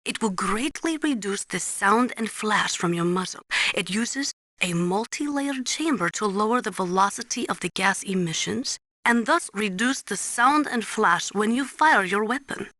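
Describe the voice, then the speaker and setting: A woman playing a tough, earnest Ukrainian nuclear weapons analyst in a video game, speaking deliberately and instructively with a Ukrainian accent. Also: mid-range voice, lively intonation, sharp tone, dry recording, clean studio sound. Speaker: military analyst and nuclear specialist. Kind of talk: scripted game voice acting, briefing.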